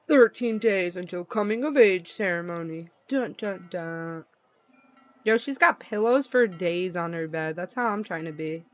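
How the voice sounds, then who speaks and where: A woman's voice: lowish voice, measured pace, soft background music. A woman livestreamer reading visual novel narration aloud and reacting in a playful, slangy way. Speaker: livestream gamer.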